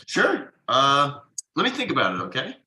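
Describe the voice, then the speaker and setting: An evasive, defensive husband and father, acted by a man at a table read. His delivery is conversational and halting. The voice is forward and bright, with very lively pitch movement. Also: exaggerated voice. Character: defensive, evasive middle-class husband and father writing ad copy. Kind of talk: table read of a TV pilot script.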